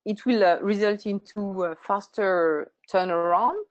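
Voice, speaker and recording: A woman hosting a webinar, reading a measured presentation. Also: medium pitch. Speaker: webinar host. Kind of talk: scripted presentation. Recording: muffled lo-fi webinar audio.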